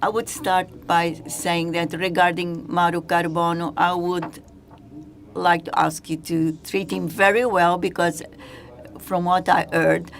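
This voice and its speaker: A woman giving simultaneous interpretation at a conference, halting and hesitant. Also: fairly low pitch, flat delivery, gravelly, a little hiss or hum.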